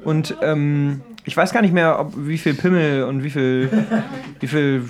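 A man co-hosting a podcast in casual chat, halting and drily joking. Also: on the high side, fairly even intonation, a little mellow. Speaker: podcast co-host.

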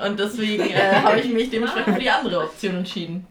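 A woman's voice: mid-range voice, quick pace, a loud crowd. Woman chatting in an echoey room. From Berlin, Germany. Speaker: game participant. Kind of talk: casual chat. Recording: roomy echo, off the mic.

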